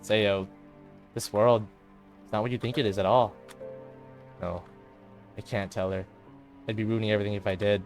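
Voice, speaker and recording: A man's voice: on the low side, steady tone, moderate pace, long pauses, soft background music, close to an everyday voice. A man playing a despairing teenage girl club president, subdued, hesitant and self-blaming, in a visual novel drama. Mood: resigned.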